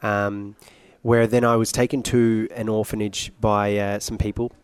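A young man with a British-sounding accent, telling his story casually and haltingly as a guest, with some joking. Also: lowish voice, little pitch movement, smooth, no room sound. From Iraq, later Australia. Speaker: interview guest, singer. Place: at a conference.

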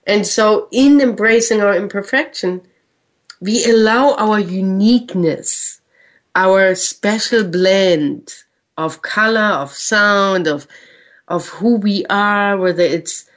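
A woman with a German accent hosting a spiritual radio show, speaking in a gentle, earnest way with slow, drawn-out phrases. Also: medium pitch. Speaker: spiritual radio host and channel. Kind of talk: reflective spiritual talk.

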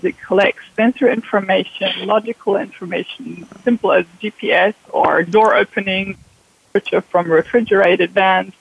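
A man's voice: high-pitched, gravelly, husky, no room sound, muffled recording. A man from Germany, a consultant guest explaining things on a radio show with frequent ums. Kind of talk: radio interview answers.

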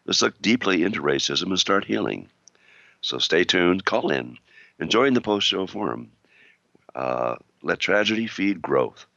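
A man co-hosting an internet talk radio show, reading a scripted introduction with a sore throat that makes his voice gravelly and deep. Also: sing-song, unhurried, bright, forceful tone, no room sound, lo-fi sound.

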